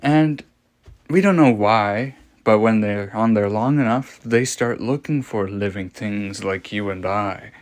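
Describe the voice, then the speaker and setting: A man playing a morbidly fascinated scientist explaining a monster in a horror audio log, lecturing with dramatic pauses and rhetorical questions. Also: lowish voice, close to an everyday voice. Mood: fascinated, ominous.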